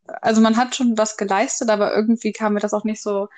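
A woman's voice: mid-range voice, fairly even intonation, fast talker, a little mellow. A woman in her early twenties in a casual, unscripted podcast chat, speaking in a slightly tentative way. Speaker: podcast co-host.

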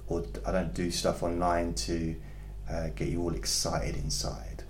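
A man hosting a hypnotherapy podcast who talks to his listeners calmly and in a rambling, self-deprecating way, often restarting his sentences. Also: sits low, measured pace. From England. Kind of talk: casual chat to listeners. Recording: echoey room with faint background noise.

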